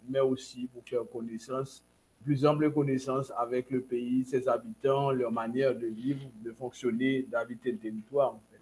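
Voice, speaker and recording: A man speaks as the host of an online conference, giving a spoken introduction at a middling pitch. He pauses mid-phrase and restarts, talking over a video call. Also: rough recording.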